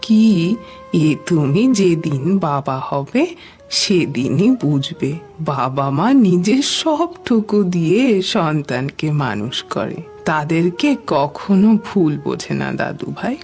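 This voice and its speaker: A man playing a gentle, wise elderly grandmother in a cartoon, tender and softly admonishing. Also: fairly high pitch, big pitch swings, slow, faint music behind, full character voice. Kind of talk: Bengali cartoon voice acting.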